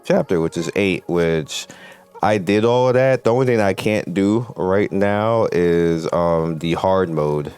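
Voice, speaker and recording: A man's voice: deep voice, a little slow, darkish tone, clear, resonant tone. A man hosting a gaming channel, giving casual, off-the-cuff commentary in a blunt, opinionated way over gameplay. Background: game music.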